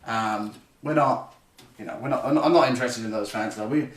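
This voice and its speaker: A man's voice: medium pitch, moderate pace. A young man chatting casually to his stream viewers as he builds a computer, rambling and correcting himself as he goes. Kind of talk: casual live-stream chat. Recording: roomy, slightly distant, faint noise.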